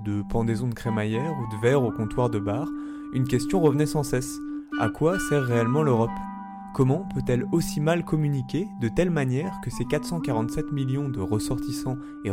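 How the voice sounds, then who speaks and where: Guy from france reading a scripted intro, calm and measured, loud guitar music under him. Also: medium pitch. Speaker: podcast narrator. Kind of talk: scripted narration.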